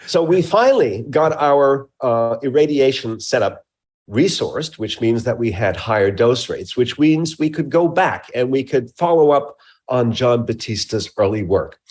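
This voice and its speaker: Male research scientist, interview guest. Measured, technical explainer with emphatic phrasing. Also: medium pitch, animated, darkish tone.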